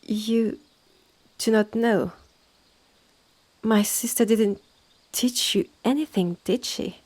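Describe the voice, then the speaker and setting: A woman with a French accent voicing a stern, haughty witch in an audio roleplay, condescending and deliberate, with a creaky, partly hushed delivery. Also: fairly high pitch. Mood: condescending, ominous. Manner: condescending, deliberate, clipped phrases with exasperated sighs. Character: stern, haughty witch innkeeper, sardonic and impatient. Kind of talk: scripted second-person audio roleplay, close and measured.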